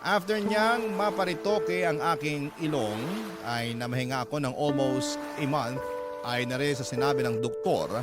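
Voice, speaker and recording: A man's voice: medium pitch, normal speed. A man with a Filipino accent giving scripted, first-person dramatic narration as a radio storyteller. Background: loud music.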